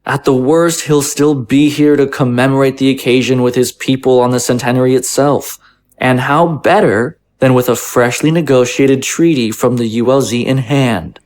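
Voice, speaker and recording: A man's voice: medium pitch, steady tone, normal speed, darkish tone, natural voice. Formal, persuasive male politician, acted. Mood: diplomatic. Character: smooth-talking, conciliatory male government official, argumentative. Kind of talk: audio drama acting.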